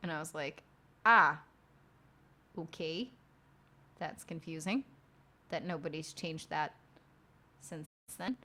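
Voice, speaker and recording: Rambling, swearing woman with a low voice. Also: slow pace, long pauses, sharp tone. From outside the United States. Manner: rambling, heavy swearing, many fillers. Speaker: streamer and podcast host. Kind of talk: casual late-night chat while working.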